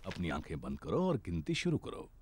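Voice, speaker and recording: Jolly cartoon pig dad: very deep, swooping, hearty and boastful. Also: male voice, huge pitch swings, brisk, background noise. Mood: gentle, reassuring. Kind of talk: Hindi cartoon dub acting.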